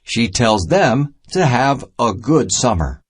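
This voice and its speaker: Slow, husky man reading a children's lesson. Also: medium pitch, very animated. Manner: deliberate, short separated phrases. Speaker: narrator of a children's math storybook. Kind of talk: scripted read-aloud narration, simple and instructional.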